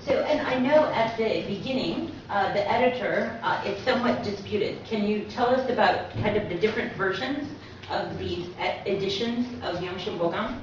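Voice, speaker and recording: A woman's voice: mid-range voice, moderate pace. Woman lecturing in a very echoey, noisy room. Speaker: lecturer, co-presenter. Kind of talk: public lecture, explaining to an audience. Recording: very echoey room, distant mic, muffled, noisy.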